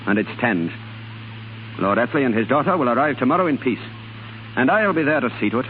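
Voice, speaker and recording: An actor plays a young Irish leader of a cause, resolute and commanding. His pitch moves widely in stirring 1930s radio style. The sound is a thin vintage broadcast with a faint noise bed. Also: lively intonation, brisk, narrow, tinny audio, lightly acted. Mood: firm, commanding. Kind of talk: 1930s radio drama acting.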